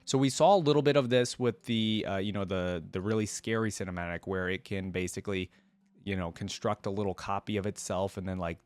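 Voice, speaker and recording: Guy doing reaction video chat, kinda low voice, really dry sound. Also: no room sound. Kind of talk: casual chat and reading aloud.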